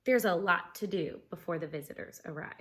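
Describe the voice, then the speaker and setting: Expressive woman teacher reading aloud to children. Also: on the low side, brisk. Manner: expressive, simple explanations addressed to young pupils. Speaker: elementary school teacher reading a picture book aloud. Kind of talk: children's read-aloud with questions to listeners.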